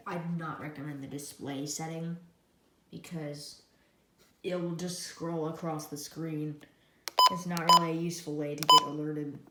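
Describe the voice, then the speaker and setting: An American woman giving a casual hands-on product demonstration, halting and often trailing off to restate herself. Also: low-pitched. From United States. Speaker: product reviewer. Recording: echoey room, loud noise, clicks.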